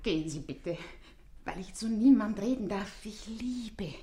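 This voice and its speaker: An actress in a German radio drama playing a fervent, fragile woman consumed by love, halting and intensely emotional. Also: mid-range voice, animated, dark tone. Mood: feverish, ecstatic.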